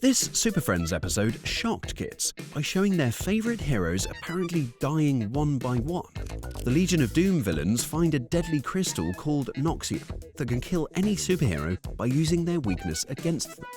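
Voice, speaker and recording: A man's voice: mid-range voice, big pitch swings, normal speed. A man reading scripted narration for a countdown video. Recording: music under the voice.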